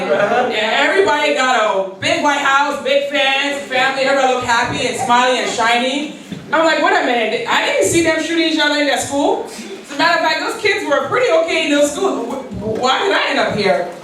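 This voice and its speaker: A woman's voice: high-pitched, lively intonation, fairly quick, fairly bright tone. A woman in her forties, from Liberia, giving a keynote speech as a personal story with an earnest, storytelling manner. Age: 40s. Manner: earnest, storytelling, with quoted prayers and light laughs. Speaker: keynote speaker. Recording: very echoey hall, voice from far off.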